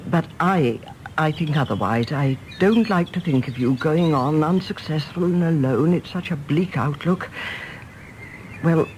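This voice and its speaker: A woman's voice: sits low, unhurried, darkish tone, slight background noise. An actress playing an anxious, domineering older English mother in a radio drama, querulous and imperious. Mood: worried, reproachful. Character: anxious, domineering, self-pitying mother of a grown son. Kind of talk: British radio drama acting.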